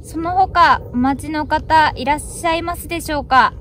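A woman's voice: high voice, normal speed, fairly bright tone, a little hiss or hum. A woman in her twenties making polite, formally worded radio contact calls outdoors. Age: 20s. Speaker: amateur radio operator. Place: outdoors on a hillside roadside.

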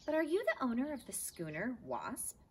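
A woman reads as a small, eager mouse sailor from a children's storybook. He speaks crisply and boldly, with animated swings in pitch. There is faint outdoor noise behind him. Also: normal speed. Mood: bold, polite. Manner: crisp, bold. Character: small plucky, loyal, eager young male mouse sailor. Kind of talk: children's storybook read-aloud. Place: outdoors in a backyard garden.

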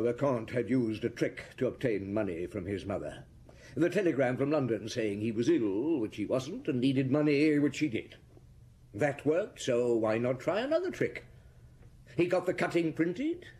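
An actor playing a shrewd, methodical chief inspector in a radio drama, probing and deliberate with a husky voice. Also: male voice, mid-range voice, dark tone, slight background noise, natural voice. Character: shrewd, methodical male police chief inspector laying out accusations. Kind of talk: BBC radio drama acting.

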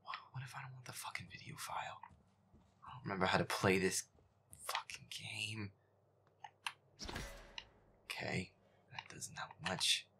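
A man's voice: fairly low pitch, unhurried, gravelly, long pauses, whispered. A man voicing a feisty, foul-mouthed young boyfriend, grumbling and exasperated, in a gameplay audio roleplay. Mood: muttering, annoyed. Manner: grumbling, profane, exasperated, often muttering under breath. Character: feisty foul-mouthed werewolf boyfriend grudgingly playing scary game.

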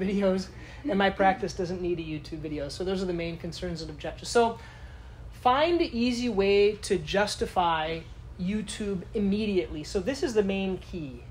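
A woman's voice: mid-range voice, a little mellow, a little hiss or hum, rough recording. A woman giving a live lecture to a conference audience in a casual, chatty way, often asking the room questions. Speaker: conference speaker.

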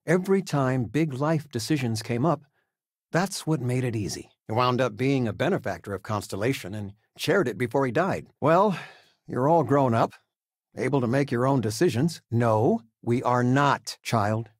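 An actor playing an affable, proud older father, a retired teacher in a video game, speaking fondly with gentle wry humor. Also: mid-range voice, expressive, normal speed, no room sound, studio quality, natural voice. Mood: warm, reassuring. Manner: fond, reassuring, gently joking. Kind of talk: video game character dialogue.

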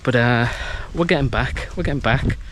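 A man at a middling pitch gives a nervously excited walking commentary as he explores an abandoned fort. His talk is chatty and full of exclamations. It is recorded outdoors on a handheld camera mic, with clear background noise and handling clicks. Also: moderate pace. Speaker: travel vlogger. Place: outdoors at an abandoned coastal military base in the heat.

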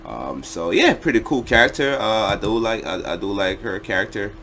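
A man's voice: fairly low pitch, animated, normal speed, bright, forceful tone, slight background noise. A young man hosting a casual reaction video in a slangy, laid-back way from a small room. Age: young adult.

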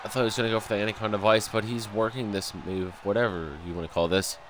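A young man with a stuffy nose doing excitable play-by-play commentary over a wrestling video game, breaking into loud exclamations, recorded at home on a desk mic. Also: lowish voice, quick pace, slight background noise. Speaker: gameplay commentator.

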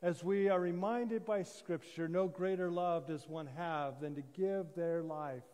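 A man in his sixties preaching a sermon as a pastor, solemn and deliberate, with pauses and repetition. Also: on the high side, warm tone. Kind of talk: sermon, measured oratory. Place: church sanctuary, indoors at a lectern. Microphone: gooseneck lectern microphone. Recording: reverberant church hall sound.

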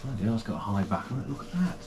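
A man's voice: lowish voice, big pitch swings, racing, noisy background. A man, casually unboxing and reviewing gear for his channel in a small home room, chatty and full of fillers and restarts. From United Kingdom. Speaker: kayaking channel host.

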